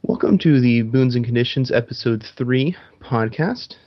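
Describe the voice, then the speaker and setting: A man's voice: medium pitch, animated, moderate pace. Casual lo-fi podcast host man. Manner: chatty, frequent ums and restarts. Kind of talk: casual gaming podcast chat. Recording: dull lo-fi sound.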